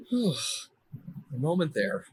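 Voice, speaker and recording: A man's voice: fairly high pitch, huge pitch swings, extremely slow, slightly rough, dry recording, heavily acted, poor audio. A man voicing a loyal, determined knight in a video game, earnest and dramatic. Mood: weary, pleading.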